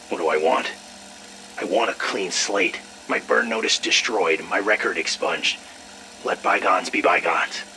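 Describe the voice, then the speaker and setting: A snarky irritable scientist in a game log, lowish voice, sweary, noisy background. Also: male voice, sharp tone, exaggerated voice. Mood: sly, pressing. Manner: sarcastic, blustering, swearing. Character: irritable, slippery, foul-mouthed male scientist doctor. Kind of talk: video game audio log acting.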